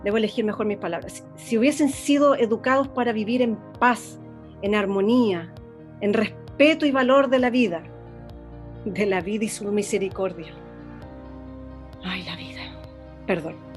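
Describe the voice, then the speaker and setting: A woman's voice: medium pitch, soft background music, close to an everyday voice. A woman playing an earnest, pleading radio director. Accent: Chilean Spanish. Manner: solemn, pleading, halting and self-correcting. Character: earnest female radio station director, a mother. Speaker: radio director addressing listeners. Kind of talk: radio drama acting.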